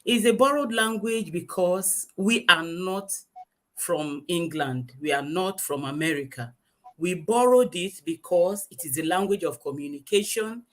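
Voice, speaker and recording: A woman's voice: on the low side, a little slow. A woman, a guest English teacher lecturing earnestly in a webinar over a video call, repeating her points for emphasis.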